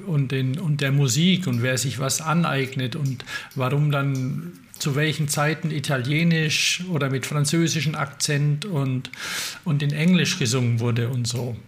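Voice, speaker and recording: A man's voice: fairly high pitch, unhurried. A man co-hosting a casual chat in a loose, meandering way, trailing off into vague asides.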